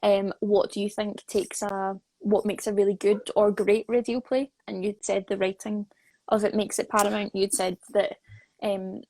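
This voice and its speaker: Female host, medium pitch, casual interview, informal, encouraging, dry sound. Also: little pitch movement, moderate pace, warm tone, no echo. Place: remote live video chat.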